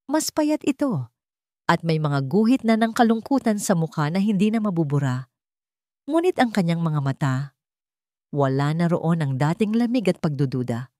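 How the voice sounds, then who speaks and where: A woman's voice: fairly low pitch, very animated, normal speed, a little mellow, no echo, clean studio sound. A woman reading a scripted romance story in a dramatic, sentimental storytelling manner. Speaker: story narrator.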